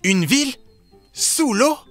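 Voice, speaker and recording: A woman voicing an eager, excitable young boy adventurer in a French children's cartoon dub. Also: high-pitched, slow pace. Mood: amazed. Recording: music behind the voice.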